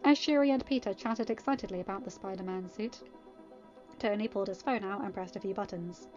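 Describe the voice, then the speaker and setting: A woman giving an expressive scripted narration of a story, as a podfic reader. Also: medium pitch, fairly quick. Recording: slightly dull recording. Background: music.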